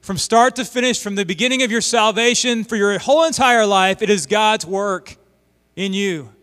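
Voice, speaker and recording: High-voiced middle-aged preacher in a reverberant hall. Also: male voice, normal speed, clear, resonant tone. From Oklahoma. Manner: animated storytelling with voiced asides. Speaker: missionary pastor. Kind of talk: sermon. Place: church sanctuary.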